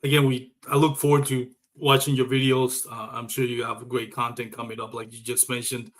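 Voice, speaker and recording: A man's voice: medium pitch, little pitch movement, a little fast, fairly bright tone. A man from Puerto Rico hosting a casual live interview show, chatting in a conversational way. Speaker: show host.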